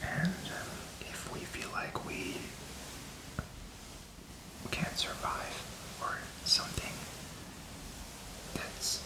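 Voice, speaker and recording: A man's voice: drawn-out words, pauses often, echoey room, low-quality recording. A man whispering in a rambling, reflective and informal ASMR style, feeling his way through his thoughts. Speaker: ASMR content creator.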